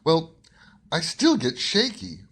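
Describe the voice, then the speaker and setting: A man playing an eager, lovestruck young gentleman in an old-time radio drama, speaking earnestly. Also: fairly high pitch, very animated, takes it slowly, creaky, husky, heavily acted. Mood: happy, shaky.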